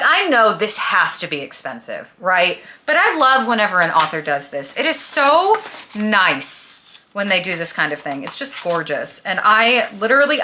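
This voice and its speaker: A woman's voice: medium pitch, sharp tone. A young adult woman chatting casually and emphatically to camera as a book vlogger, with a gushing manner. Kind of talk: casual chat about books to camera.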